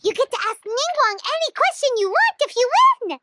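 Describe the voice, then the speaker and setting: A woman voicing a tiny, excitable childlike fairy companion in a video game, squeaky and emphatic. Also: a little fast, heavy background noise. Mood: excited. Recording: game audio under loud music.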